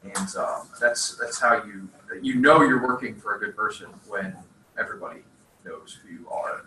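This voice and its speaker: A man's voice: lowish voice, normal speed, bright, forceful tone. A man hosting a career session interview, speaking in an upbeat, affirming way. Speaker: career services host. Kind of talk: casual interview. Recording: echoey room, far from the mic, faint hiss.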